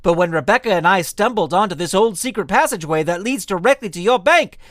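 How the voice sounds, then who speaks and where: An actor playing an earnest magician detective in an audio drama, speaking politely and precisely with a theatrical, declamatory manner. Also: male voice, sits high, expressive, fast, a bit bright, no echo, studio quality. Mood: confident deducing. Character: earnest, theatrical male magician-sleuth confidently unmasking culprits.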